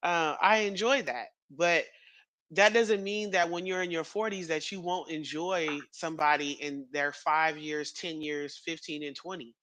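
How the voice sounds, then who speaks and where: A man in his thirties chatting casually as a podcast co-host, rambling with fillers and quick laughs. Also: a little high, fairly even intonation, sharp tone, no echo. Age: 30s.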